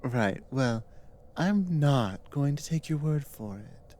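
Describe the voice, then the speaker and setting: Casting: performer as dominant giantess captor for ASMR roleplay; very slow, deliberate delivery, stern, taunting, condescending. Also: medium pitch, lively intonation, warm tone. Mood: cold, taunting.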